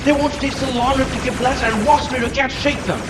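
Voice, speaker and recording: A man voicing an excitable robot villain henchman with a whiny, defensive manner in a comic dub. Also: very high-pitched, fairly quick, recorded from a distance. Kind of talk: comic dub cartoon acting. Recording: echoey room, music underneath.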